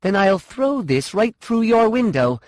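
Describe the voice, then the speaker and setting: A man voicing a fierce, angry warrior woman in an audiobook, forceful and commanding in manner. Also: sits high, very animated, measured pace, no room sound, pitched above the performer's own voice. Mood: defiant, commanding. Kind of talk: audiobook character dialogue.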